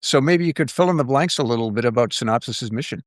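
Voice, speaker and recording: A man's voice: mid-range voice, fast, no room sound, studio quality. A man hosting a business podcast, asking friendly questions with plenty of fillers. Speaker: podcast host.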